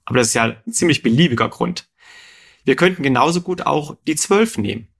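Erklärender Podcast-Moderator, geduldig fragend. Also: male voice, fairly high pitch, lively intonation, brisk, vocal fry, a bit bright, slightly rough. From Rhineland, Germany. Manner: patient, quizzes his co-host with questions. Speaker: podcast co-host explaining numbers. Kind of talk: explanatory conversational chat.

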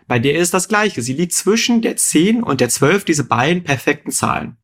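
Ein Mann aus dem Rheinland, der als Co-Moderator im Podcast locker etwas erklärt und seinem Gesprächspartner geduldig Rechenfragen stellt. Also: male voice, a little high, big pitch swings, normal speed, fairly bright tone. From Rhineland, Germany. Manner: patient, quizzes his co-host with questions. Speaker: podcast co-host explaining numbers. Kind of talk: explanatory conversational chat.

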